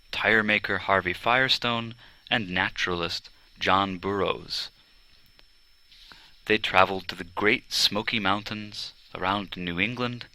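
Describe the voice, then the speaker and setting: A man reading a children's biography as scripted narration, with a deliberate storytelling delivery. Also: on the low side, bright, forceful tone, rough recording. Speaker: audiobook narrator. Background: string quartet music.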